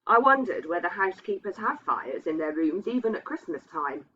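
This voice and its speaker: A woman's voice: lowish voice, big pitch swings, normal speed, pushed and bright. A woman reading a literary ghost story aloud as a narrator. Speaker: reader. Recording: dull, muffled lo-fi sound.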